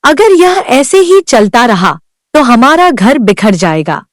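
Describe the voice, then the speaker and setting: A woman's voice: fairly high pitch, big pitch swings, takes it slowly, no room sound, studio quality. A woman voicing Sunita, a worn-down young village wife who pleads earnestly for help in a cartoon. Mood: pleading. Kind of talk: Hindi cartoon voice acting.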